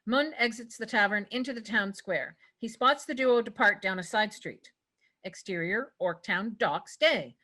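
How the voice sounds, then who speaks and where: A woman narrates a script, reading out stage directions. Her voice is bright and forward, sitting at a medium pitch. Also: unhurried.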